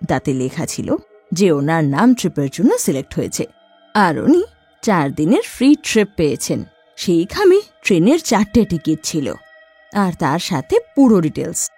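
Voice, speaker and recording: A woman narrating a horror story in a lively storytelling manner. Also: on the low side, normal speed, husky, soft background music.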